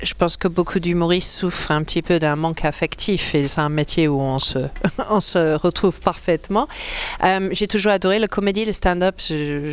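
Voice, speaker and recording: A woman comedian from England speaks in a smooth, clear voice. She answers interview questions casually, hesitating with plenty of fillers and laughing easily. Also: on the low side. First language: English. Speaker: comedian guest.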